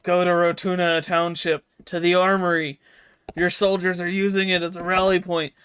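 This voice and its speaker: A man reads the part of a loyal noble confidant in a video game. He is earnest and plain in delivery, with a high-pitched male voice. The sound is dry and close. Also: monotone, moderate pace, a bit bright, clear, resonant tone, dry recording. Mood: urgent, instructing. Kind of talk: amateur video game dialogue read-aloud.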